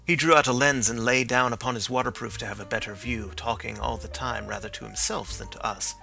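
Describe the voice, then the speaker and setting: A man narrating a classic story as an audiobook in steady, storytelling phrasing. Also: mid-range voice, a little fast, gravelly, faint music behind.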